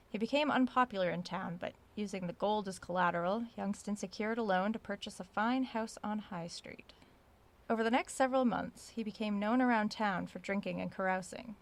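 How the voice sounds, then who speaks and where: Woman acting a gravelly-edged, curious researcher. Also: medium pitch, lightly acted. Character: curious, self-aware woman researcher keeping spoken ghost-house log. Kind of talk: audio drama log, reading aloud and musing.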